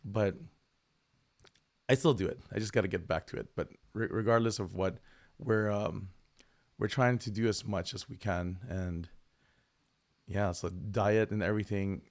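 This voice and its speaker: A man's voice: lowish voice, fairly even intonation, measured pace, long pauses. A man hosting a livestream, chatting casually and joking with a guest, his voice a bit tired from lost sleep. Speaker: streamer host.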